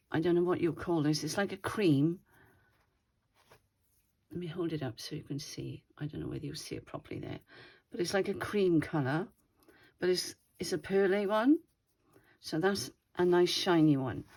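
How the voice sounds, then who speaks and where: A woman's voice: on the low side, darkish tone, husky. An older woman with a slightly wheezy voice, chatting casually as she shows her craft project at home. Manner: chatty, meandering, self-correcting. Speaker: craft video host. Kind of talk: casual show-and-tell chat.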